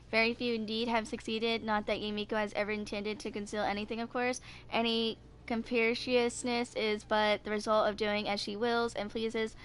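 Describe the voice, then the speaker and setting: A woman in her twenties streaming a video game, talking casually in a rambling, halting way that circles back on itself. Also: fairly high pitch, a little hiss or hum. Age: 20s. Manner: rambling, halting, repeats herself. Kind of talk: casual livestream chat with some reading aloud of game text.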